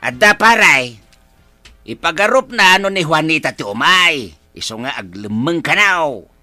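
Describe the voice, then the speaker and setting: A man with an Ilocano accent playing a flustered, nagging village husband, stammering and excitable in a comedy drama. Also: fairly high pitch, a little slow, dry recording, faint music behind, full character voice.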